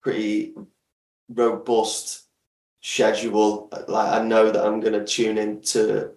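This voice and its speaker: A man chatting casually as a podcast guest, often halting to search for words. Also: fairly low pitch, completely flat delivery, darkish tone. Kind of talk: casual chat. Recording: echoey room, distant from mic.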